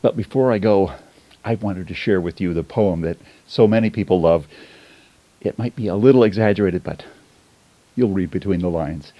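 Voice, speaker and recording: A man playing a jolly, kindly old Santa Claus, reading a storybook poem in a playful, expressive, theatrical way. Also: low-pitched, normal speed. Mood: excited, confiding. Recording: dull, muffled lo-fi recording.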